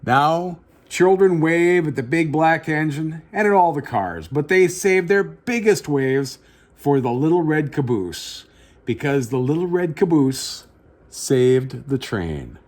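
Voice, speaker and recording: A man reads a children's picture book aloud as the host of story time. His voice is slightly high and very animated, with dramatic, drawn-out phrasing aimed at young listeners.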